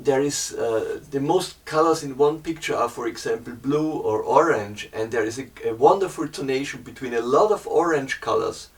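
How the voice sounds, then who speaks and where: A man's voice: mid-range voice, distant mic. German-accented man searching for words. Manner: hesitant, searching for English words. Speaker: photographer. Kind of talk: casual explanation to camera.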